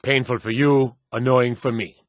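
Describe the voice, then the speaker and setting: A man's voice: medium pitch, fairly even intonation, normal speed, dry recording, clean studio sound, close to an everyday voice. A man playing a stern, wary medieval city guard in a fantasy video game, with an authoritative, curt way of speaking. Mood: stern, smug. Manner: authoritative, curt, clipped commands.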